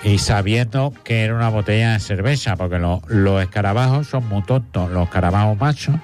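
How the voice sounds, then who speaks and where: A man's voice: on the low side, fairly quick, a little mellow, soft background music, lightly acted. A man voicing a chatty, lovelorn female beetle from Australia in an improvised comedy bit, over-the-top and playful. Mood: aggrieved.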